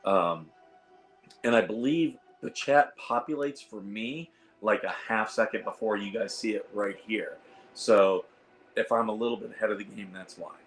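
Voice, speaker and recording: A hoarse man hosting a casual livestream, rambling with lots of fillers and reading out the chat. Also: on the low side, moderate pace, faint music behind. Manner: rambling, reads chat aloud, frequent fillers. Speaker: host of a veterans livestream. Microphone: iPad.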